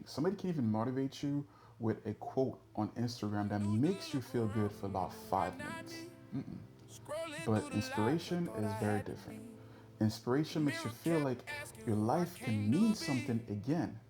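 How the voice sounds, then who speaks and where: A man in his thirties hosting his own podcast, talking in an earnest, measured way about his life, with the odd filler. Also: medium pitch, dark tone. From born in the US, raised in Europe. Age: 30s. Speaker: podcast host. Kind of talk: reflective solo podcast monologue.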